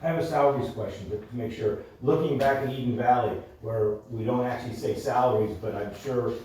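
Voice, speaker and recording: A man's voice: mid-range voice, a little fast, darkish tone. A man speaking as a board member in a meeting discussion, thinking aloud analytically as he questions how figures are presented. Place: indoor public board meeting room. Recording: echoey meeting room, distant mic, faint noise.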